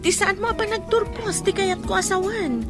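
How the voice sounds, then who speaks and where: A woman's voice: very high-pitched, moderate pace. A woman playing a cautious, scolding young friend in a drama, with a Filipino accent. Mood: worried scolding. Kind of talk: Ilocano radio drama acting. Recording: very loud music under the dialogue.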